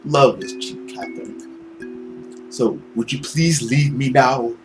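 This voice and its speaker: A man voicing a brooding, long-lived, loveless man, narrating a visual novel in a grave, dramatic and measured way. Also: mid-range voice, steady tone, faint music behind, close to an everyday voice. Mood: weary, anguished.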